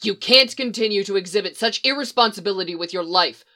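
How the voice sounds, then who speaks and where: A woman's voice: mid-range voice, fast, sharp tone, lightly acted. A woman voicing a stern, protective male handler agent who scolds in clipped, exasperated words. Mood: tense.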